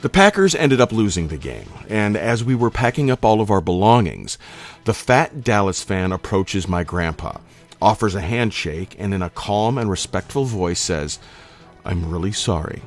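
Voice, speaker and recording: Male storyteller, low-pitched and very animated, emphatic and salty-tongued, scripted comic delivery over a music bed. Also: moderate pace. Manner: dramatic, profane, emphatic. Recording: music playing under the voice. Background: background music.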